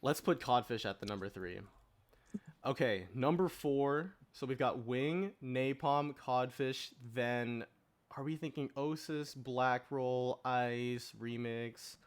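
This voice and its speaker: A man's voice: mid-range voice, slow, clear, resonant tone. A man hosting a podcast, steering a casual group discussion. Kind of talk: casual group chat with beatboxing predictions.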